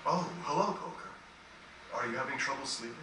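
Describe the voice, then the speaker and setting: A man playing a gentle, melancholy dying composer in a fantasy game, speaking in a reflective, earnest, measured way with formal phrasing. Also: medium pitch, natural voice. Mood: gentle, pensive. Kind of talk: dramatic game cutscene dialogue. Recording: distant, echoey, noisy game capture.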